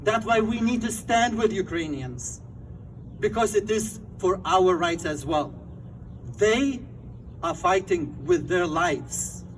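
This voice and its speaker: A man's voice: sits high, very animated, slow pace, recorded from a distance, slight background noise, rough recording. A man from Lithuania with a Lithuanian accent, giving an impassioned speech at an outdoor rally with fervent, emphatic phrasing. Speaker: rally speaker.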